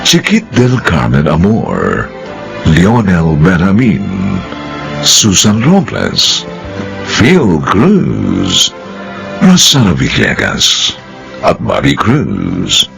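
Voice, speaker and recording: A man with a very low, creaky voice narrates a radio drama. He is solemn and theatrical, with huge swings of pitch, over loud music. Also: huge pitch swings, extremely slow.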